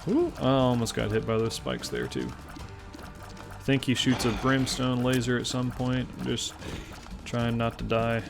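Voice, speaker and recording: A man's voice: mid-range voice, expressive, a little slow, creaky, music in the background. A man in his twenties from Tennessee with a Southern accent, chatting casually and self-deprecatingly while commenting on his gameplay, often rambling between thoughts. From Memphis, Tennessee. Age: 20s. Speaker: gaming channel host.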